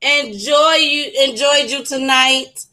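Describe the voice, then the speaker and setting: Bright-voiced woman podcast host. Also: high voice, slow. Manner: repeats words, thanks listeners. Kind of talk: casual chat.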